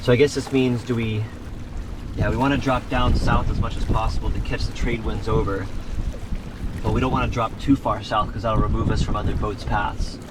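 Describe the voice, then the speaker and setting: A man's voice: lowish voice, flat delivery, brisk. A man improvising as a castaway in a life raft survival dramatization, talking out on the water. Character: weary male castaway adrift in a life raft. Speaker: amateur actor in a survival dramatization. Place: small life raft out at sea. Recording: loud boat and water noise.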